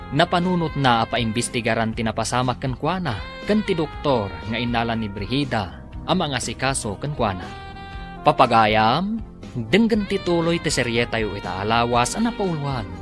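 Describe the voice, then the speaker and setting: A man announcing a radio drama series, formal and measured in his scripted delivery. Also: mid-range voice. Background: music.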